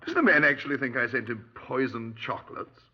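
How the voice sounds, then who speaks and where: An actor playing a genial, breezy middle-aged British retired major in a 1950s radio drama, clipped and dismissive. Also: male voice, medium pitch, animated, fairly quick, natural voice. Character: genial, dapper retired army major, breezy small-town murder suspect. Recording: muffled 1950s radio recording.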